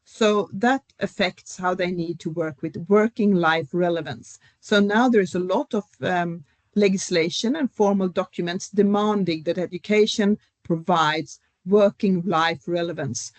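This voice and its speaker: A middle-aged professor, speaking as a guest in an academic panel discussion. She explains at a measured pace, with frequent fillers. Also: fairly low pitch, no room sound. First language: Swedish.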